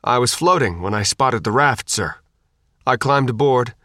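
A man's voice: mid-range voice, expressive, moderate pace, sharp tone, no echo. An earnest young Marine lance corporal, acted out by a male narrator. He speaks in precise, formal barks as in a military report. It is audiobook dialogue, recorded dry. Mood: anxious, defensive. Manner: barking, precise military address.

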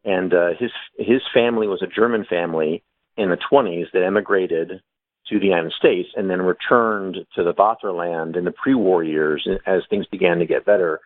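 A man, an author on a panel, explaining his story at length with thoughtful pauses and many fillers. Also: deep voice, dry recording. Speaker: author guest. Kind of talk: casual panel discussion. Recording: tinny phone line.

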